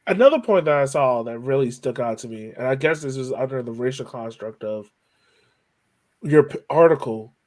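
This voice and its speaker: A man with a mid-pitched voice hosts a casual interview, recorded very dry. He works his way tentatively into each question, rephrasing as he goes. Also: lively intonation, normal speed, a little mellow, no room sound. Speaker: podcast host, interviewer.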